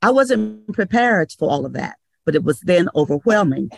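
Gravelly older woman radio owner. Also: on the low side, big pitch swings. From North Carolina. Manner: measured, advising, many uh pauses. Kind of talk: panel discussion. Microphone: video call.

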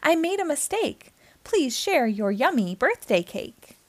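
A woman's voice: high-pitched, big pitch swings, a little slow, no room sound. A woman voicing a huge, bossy male lion in a children's storybook, with an emphatic delivery. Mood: apologetic. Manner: loud, emphatic.

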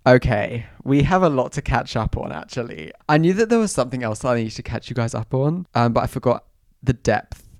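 A young man, bunged up and croaky from a cold, telling stories in a dramatic, self-mocking way as a podcast host. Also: medium pitch, big pitch swings, a little fast, a little mellow, no room sound, studio quality. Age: 20s. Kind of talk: casual chatty storytelling.

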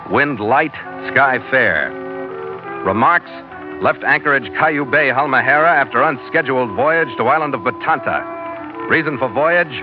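An actor playing a brisk, tough sea captain who narrates a 1940s radio adventure in terse, clipped phrases. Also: male voice, medium pitch, lightly acted. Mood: terse, official. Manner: clipped, terse, hardboiled delivery. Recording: dull vintage radio recording, loud music behind.

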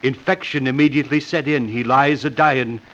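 An actor playing a gloomy village surgeon bearing bad news in a radio melodrama, declamatory and old-fashioned. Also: male voice, medium pitch, steady tone, normal speed, a bit bright, lightly acted. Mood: solemn, ominous. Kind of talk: old-time radio drama acting. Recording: muffled old radio recording.

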